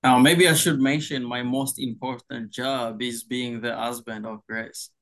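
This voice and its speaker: A young man from Congo speaks in an unhurried, drawn-out way, with frequent "uh"s as he gathers his thoughts. His voice sits at an ordinary middle pitch, and he laughs easily between his sentences. It sounds like a relaxed video-call chat. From Democratic Republic of Congo. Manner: slow, hesitant with many fillers, laughs easily. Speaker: pastor, guest. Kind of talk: casual interview chat.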